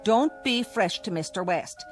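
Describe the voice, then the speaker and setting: A woman's voice: medium pitch, lively intonation, a little slow, close to an everyday voice. Genteel kindly landlady, an actress. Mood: sweet. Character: sweet trusting older landlady. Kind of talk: classic TV drama acting. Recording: old TV soundtrack with faint music.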